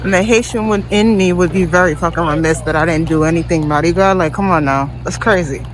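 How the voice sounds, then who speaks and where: A woman's voice: on the low side, fast talker, background noise. A woman giving casual travel vlog commentary in a blunt, slangy, profane way. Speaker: travel vlogger and podcast host.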